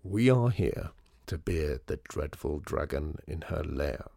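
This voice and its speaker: A man voicing a shrewd former store owner who talks in a measured, knowing, slightly theatrical way. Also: extremely low pitch, lively intonation, gravelly, warm tone, dry recording, studio quality, pitched below the performer's own voice, heavily acted. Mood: wry.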